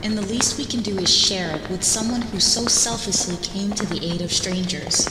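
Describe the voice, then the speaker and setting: A woman's voice: mid-range voice, normal speed, heavy background noise, natural voice. Woman as echoey underground sanctuary dweller. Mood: grateful. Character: gracious, grateful woman of hidden underground sanctuary. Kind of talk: comic-book motion comic acting. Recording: echoey hall under loud music.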